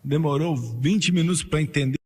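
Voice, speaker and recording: Man preaching, high voice, emphatic, long hall reverb. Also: darkish tone. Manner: emphatic preaching, slows and rises on key phrases, jokes with congregation. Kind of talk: sermon.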